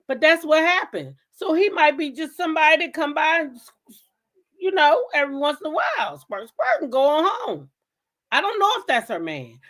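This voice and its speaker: A woman's voice: on the high side, fairly bright tone. An American woman hosting a gossip talk show, giving blunt, emphatic commentary in a casual style and repeating phrases for effect.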